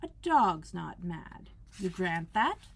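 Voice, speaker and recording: Woman voicing a slow, slyly mad storybook cat. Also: fairly low pitch, big pitch swings, creaky, fairly bright tone, slight background noise, full character voice. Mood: measured, reasoning. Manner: slow, drawn-out, teasing delivery. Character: grinning, calm, slyly mad talking cat. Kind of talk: storybook read-aloud.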